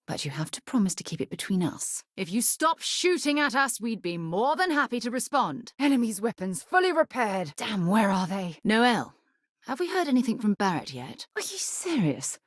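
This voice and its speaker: A woman playing a principled, earnest space explorer and leader in a video game, a husky voice and articulate, formal delivery. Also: on the high side, big pitch swings, normal speed, no room sound, clean studio sound. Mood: firm, then urgent. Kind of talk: scripted video game companion dialogue.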